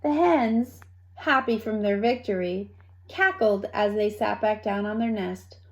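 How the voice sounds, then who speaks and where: A woman reading a children's story aloud in an expressive manner, with playful asides to young listeners. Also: mid-range voice, measured pace. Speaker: storybook reader.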